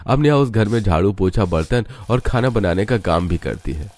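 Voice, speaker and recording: A man's voice: lowish voice, animated, moderate pace, darkish tone. A man narrating a scripted moral story.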